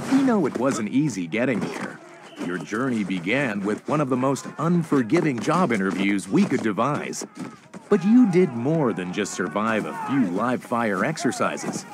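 An upbeat male promotional announcer, speaks in a polished, salesy way. His middle-pitched voice moves around a lot, over clear background noise. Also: very animated, unhurried. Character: upbeat corporate orientation video announcer. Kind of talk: promotional scripted narration.

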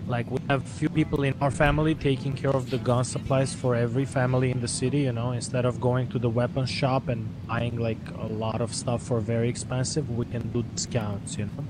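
Mid-pitched man, noisy game voice chat. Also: level tone, brisk, few pauses. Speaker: fellow player guiding a newcomer. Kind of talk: casual online game roleplay chat.

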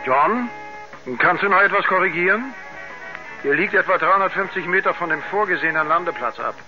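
An actor playing a reassuring mission control doctor in a 1970s radio drama, calling out instructions and encouragement. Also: male voice, sits high, lively intonation, a little fast, lo-fi sound. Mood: concerned, steady. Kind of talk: 1970s radio play acting. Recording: band-limited radio transmission, music behind.

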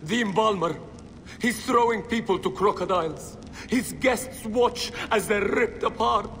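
A frightened, high-voiced captive. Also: male voice, a little slow, fairly bright tone, long reverb tail, slight background noise. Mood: terrified, urgent. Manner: urgent, frightened. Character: terrified escaped captive, a fish seller.

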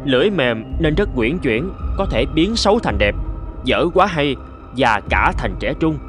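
An actor playing a humble but clever young slave in a cartoon folk tale, deferential and articulate. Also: male voice, mid-range voice, very animated, unhurried. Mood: explaining confidently. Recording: music behind the voice.

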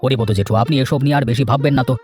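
Man acting a courteous, fast-talking village nephew. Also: mid-range voice, fairly even intonation, darkish tone, soft background music, close to an everyday voice. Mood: hospitable. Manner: courteous, reassuring. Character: generous, trusting, polite village nephew. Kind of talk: children's cartoon voice acting.

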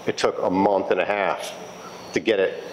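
A man speaking as a restoration technician in a casual interview, explaining in a halting, conversational way and repeating phrases. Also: low voice, rough recording. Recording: clear steady background noise.

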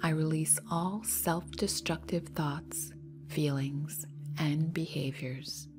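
A woman leading a guided meditation in a soft, breathy, half-whispered voice, soothing and unhurried, leaving long pauses. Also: sits low, steady tone. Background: meditation music.

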